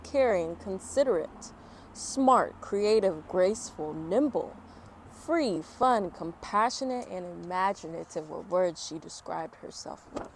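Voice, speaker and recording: Lady reading a kids book out loud, slow and expressive, chats a bit, some noise behind her. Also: on the low side. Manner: expressive, unhurried, informal commentary. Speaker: storyteller reading a picture book aloud. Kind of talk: children's read-aloud with chatty asides.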